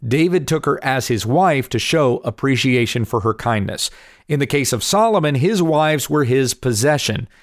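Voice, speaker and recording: A man in his forties, slightly hoarse from allergies, earnestly explaining Bible passages and answering viewer questions. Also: medium pitch, dry recording, clean studio sound. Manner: earnest, explanatory, reads scripture aloud. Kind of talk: casual Bible teaching video. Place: home in Kansas.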